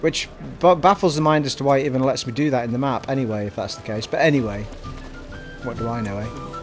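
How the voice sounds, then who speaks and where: A middle-aged man from Scotland with a generic English accent gives chatty, self-deprecating live commentary as he plays a game at home. His voice sits at an ordinary middle pitch, with faint music underneath. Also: quick pace. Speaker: game streamer. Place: home streaming room.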